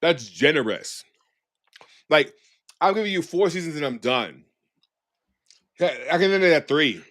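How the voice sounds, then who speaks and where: A man co-hosting a podcast, chatting in a sassy, slangy, animated way over a video call. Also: a little high, unhurried, long pauses. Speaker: podcast co-host. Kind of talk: casual chat.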